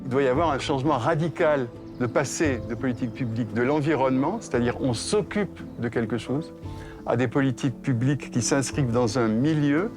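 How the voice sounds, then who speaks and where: A man's voice: mid-range voice, a little fast, music in the background. A man explaining abstract ideas in the manner of an expert interviewed for a documentary. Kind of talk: interview commentary in a documentary film.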